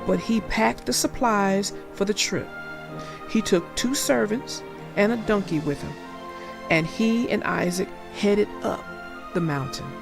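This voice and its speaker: A woman narrating a children's story as a scripted read-aloud, in a deliberate, expressive way. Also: medium pitch, creaky.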